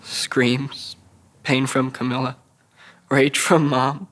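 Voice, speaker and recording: A troubled young man, voiced by a male actor at a drama table read, speaks with a childlike plainness. He stammers and halts as he recounts painful memories, at a middling male pitch. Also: level tone, slow pace, fairly bright tone. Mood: desperate, haunted.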